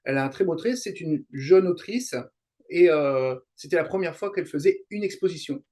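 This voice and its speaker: A man with a fairly high voice talks as a guest in a casual interview. He explains things in a halting way, pausing and rephrasing as he goes. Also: expressive, dark tone. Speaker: interview guest, YouTuber and translator.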